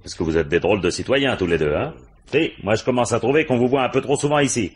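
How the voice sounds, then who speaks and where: An actor playing a gruff, paternal, world-weary police commissioner in a French radio crime drama, muttering colloquially with dry irony. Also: male voice, mid-range voice, fast talker, natural voice. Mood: stern, scolding. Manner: colloquial, muttering, dryly ironic.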